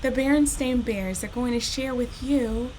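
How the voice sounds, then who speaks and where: A woman hosting a storytime, reading a children's story expressively and speaking directly to young listeners in an animated, unhurried way. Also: a little high, faint music behind, low-quality recording. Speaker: storytime host reading a children's picture book.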